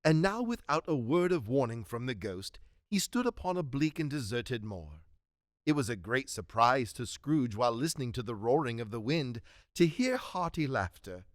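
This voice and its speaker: A man's voice: mid-range voice, no room sound, studio quality. A man giving dramatic scripted narration for a radio play in a storytelling style.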